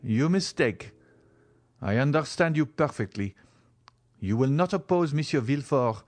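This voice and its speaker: A man reading an audiobook, voicing a passionate young man who speaks in an earnest, measured and bitter way. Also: mid-range voice, lively intonation, a little mellow, pitched above the performer's own voice, natural voice.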